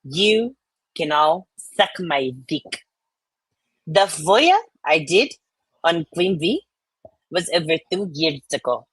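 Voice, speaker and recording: A woman's voice: low-pitched, lively intonation, slow, pauses often, husky, no room sound, full character voice, low-quality recording. A woman voicing a brash, sassy, indignant Southern US woman who draws out her words as she rants in an online-drama reading.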